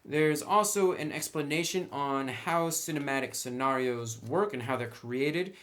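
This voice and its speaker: Male reviewer, medium pitch, informal and conversational delivery, freely giving opinions. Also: fairly bright tone. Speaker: tabletop game book reviewer. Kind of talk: casual review commentary.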